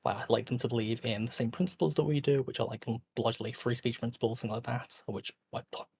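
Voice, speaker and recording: Tired, gravelly-voiced hesitant man. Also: mid-range voice, steady tone, fast talker, darkish tone, slight background noise. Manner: hesitant, filler-heavy, restarts often. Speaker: guest. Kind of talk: casual debate chat.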